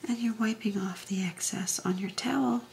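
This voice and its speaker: A woman hosting a craft tutorial, talking through each step in a soft, unhurried way, often dropping to a half whisper, her voice a little hoarse from allergies. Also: medium pitch, fairly even intonation, slight background noise. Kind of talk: casual step-by-step demonstration.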